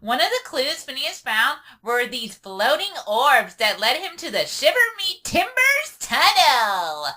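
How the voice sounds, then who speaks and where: An excitable young cartoon mare with a piercingly bright, very animated voice. She speaks with earnest, dramatic emphasis and a bookish fan's intensity, a little far from the mic. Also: sits high, unhurried, hardly any pauses, full character voice. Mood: eerie storytelling. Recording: distant mic with faint noise.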